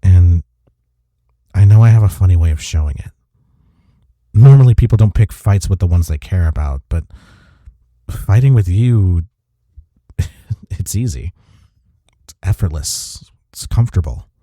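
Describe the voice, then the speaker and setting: Male actor for tender, teasing coworker love interest, audio roleplay; low voice, slow halting murmurs. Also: expressive, mellow, no echo, studio quality. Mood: tender, remorseful. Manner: hesitant, halting, breathy sighs and murmurs. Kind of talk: intimate romantic audio roleplay acting.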